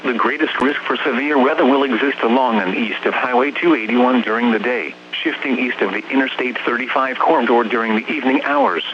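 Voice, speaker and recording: A male announcer reading a scripted weather bulletin over the radio in a formal, measured, impersonal way. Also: medium pitch. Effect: radio broadcast. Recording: muffled, noisy weather radio broadcast with hum.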